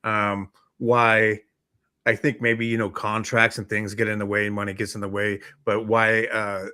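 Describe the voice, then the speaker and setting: A man's voice: fairly low pitch, flat delivery, unhurried, pushed and bright, no room sound, clean studio sound. A man chatting casually as a talk show co-host, rambling and hesitant as he works through his thoughts. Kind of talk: casual chat about films and comics.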